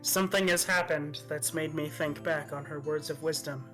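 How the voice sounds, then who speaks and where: A woman's voice: low-pitched, steady tone, moderate pace, a bit bright. A woman playfully reading game dialogue aloud among friends in a casual style. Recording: music behind the voice.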